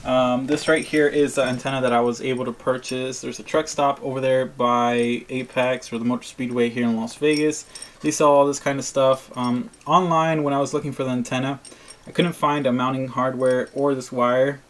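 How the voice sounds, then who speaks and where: A man's voice: mid-range voice, level tone, moderate pace. A man talking casually to his viewers in an unboxing vlog, explaining things in an informal, plain-spoken way. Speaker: vlogger. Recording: clear background noise, crinkling packaging.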